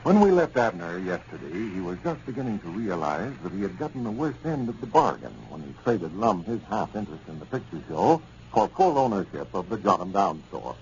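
A man's voice: sits low, very animated, brisk, hardly any pauses, a little mellow. An American man giving a scripted announcement and sponsor narration as a 1930s radio announcer. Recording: muffled, hissy 1930s radio recording.

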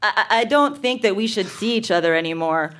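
A woman reading aloud as an awkward, sulky, noncommittal teenage boyfriend, in halting mimicry. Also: mid-range voice, expressive, fairly bright tone, lightly acted. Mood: hesitant, glum.

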